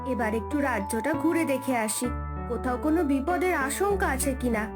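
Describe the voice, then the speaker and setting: A woman's voice: high voice, moderate pace, loud music behind the voice, close to an everyday voice. A woman voicing a kind, brave young mermaid in a fairy-tale cartoon, speaking in an earnest, declamatory way. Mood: caring.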